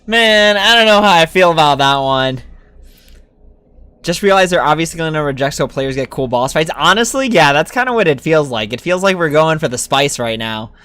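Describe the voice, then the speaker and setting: Male game streamer, informal and musing, slightly high voice, dry close recording. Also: animated, normal speed, sharp tone, smooth, no echo. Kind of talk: casual chat commentary on gameplay.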